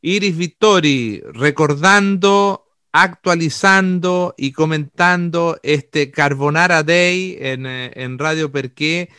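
A man hosting a radio interview, asking measured, formal questions. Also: on the high side, sharp tone, clear, resonant tone, no room sound, studio quality. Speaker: radio host.

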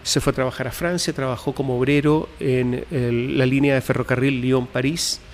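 A man tells his family's story as an interview guest, his voice at an ordinary middle pitch. He talks haltingly, with frequent fillers and restarts. A faint hiss of background noise runs under the recording. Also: fairly even intonation, big-room sound. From Chile. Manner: hesitant, many fillers and restarts. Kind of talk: telling family history in an interview.

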